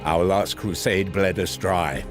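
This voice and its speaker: An actor playing a loyal, stern space knight officer in a sci-fi game, with formal, dramatic delivery. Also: male voice, low-pitched, fairly even intonation, measured pace, music in the background. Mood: stern concern.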